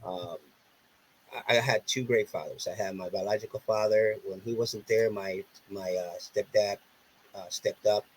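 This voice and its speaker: A man's voice: lowish voice, steady tone, normal speed, slight background noise, low-quality recording. A man from Puerto Rico whose first language is Spanish, with a Puerto Rican accent, telling stories earnestly in a casual interview and often repeating himself. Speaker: podcast guest.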